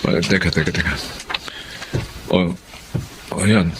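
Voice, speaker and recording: Fernan, a sheepish halting husband. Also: male voice, low-pitched, steady tone, fairly bright tone, slight background noise. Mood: sheepish, placating. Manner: hesitant, halting. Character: Fernan, sheepish, placating working-class husband. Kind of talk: Filipino radio drama acting.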